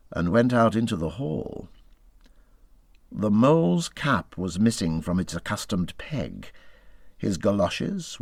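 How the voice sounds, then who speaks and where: Slow, very animated male storyteller. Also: low-pitched, no echo, clean studio sound. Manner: dramatic, expressive storytelling that ranges from hushed to urgent. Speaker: audiobook reader. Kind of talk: scripted narration of a classic children's animal story.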